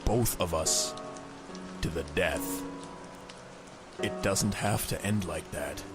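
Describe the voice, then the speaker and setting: A man voicing a grim, weary masked vigilante in a comic dub, speaking in a measured, stern, earnest way. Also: deep voice, over loud music. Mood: grave appeal. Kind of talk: dramatic comic dub voice acting.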